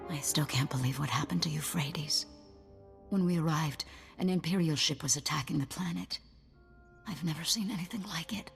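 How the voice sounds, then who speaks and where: An actress playing a shaken, horrified crew member of a medical ship in a video game. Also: female voice, low voice, normal speed, gravelly, soft background music. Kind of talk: video game cutscene acting.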